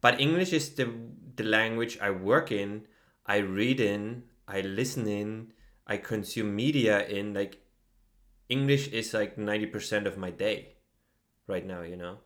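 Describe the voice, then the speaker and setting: Low-voiced man chatting casually. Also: a little slow. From Germany. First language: German. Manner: relaxed, peppers speech with "you know" and "like". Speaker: podcast co-host. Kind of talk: casual conversational podcast.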